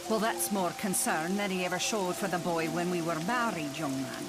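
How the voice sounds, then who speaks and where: A woman's voice: on the low side, moderate pace, natural voice. Woman acting a bitter doctor, music behind. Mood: sardonic. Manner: sarcastic, wry. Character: sardonic, bitter Scottish doctor, mother and ex-wife. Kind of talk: motion comic voice acting.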